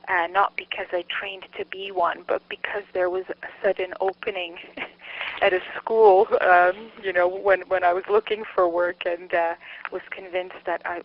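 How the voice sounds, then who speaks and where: A middle-aged woman from Montreal with a Canadian accent and Czech as her mother tongue, answering interview questions as a researcher in a hesitant, self-correcting, thoughtful way. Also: lowish voice. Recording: dull lo-fi interview audio.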